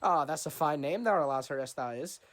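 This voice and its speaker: A man doing a gabby, bearded old riverboat captain who won't stop prying. He speaks in a put-on brogue, pitched up high, and rambles along, tacking "you know" onto nearly every sentence. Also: moderate pace, fairly bright tone, close to an everyday voice. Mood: jovial. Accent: put-on Scottish-like brogue.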